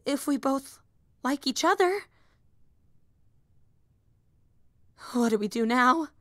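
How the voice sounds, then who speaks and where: A woman's voice: high voice, lively intonation, moderate pace, a bit bright, no echo, clean studio sound. A woman voicing a young, nerdy female hero fan and teacher in an audio roleplay, eager, rambling and easily flustered. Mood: flustered, shy.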